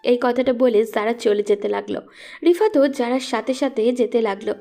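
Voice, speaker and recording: A woman's voice: a little high, moderate pace, creaky, warm tone, faint music behind. A woman reading scripted narration for a romantic story as its narrator.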